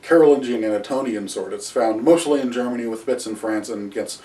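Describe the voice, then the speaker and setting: Man lecturing with many filler pauses. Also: mid-range voice, warm tone, distant mic. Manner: halting, many "uh" fillers and restarts, gestures with both hands. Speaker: history video host. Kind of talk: unscripted lecture to camera. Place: small room at home with wall hangings and shields. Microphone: camera a few feet away.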